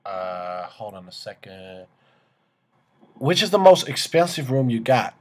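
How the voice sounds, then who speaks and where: A man's voice: medium pitch, big pitch swings, measured pace. A brash man in his twenties chats on a prank call with a mid-range voice. His delivery is casual, cheeky and full of swaggering slang. He talks in a small room at a desk. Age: 20s. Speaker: prank caller and video host. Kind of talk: joking casual phone chat in a put-on swaggering slangy way.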